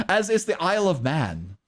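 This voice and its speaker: Chatty South African streamer. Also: male voice, sits high, slightly rough. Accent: South African English, mixed with Australian and American. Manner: rambling, chuckling often, talks back to chat. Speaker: streamer and artist. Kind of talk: casual livestream chat.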